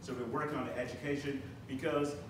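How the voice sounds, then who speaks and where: A man from Barbados, a financial advisor, giving an earnest short pitch to a meeting audience. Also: fairly even intonation, fast. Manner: earnest, teaching tone with direct questions. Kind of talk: short self-introduction pitch. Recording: echoey room, mic far off, noisy.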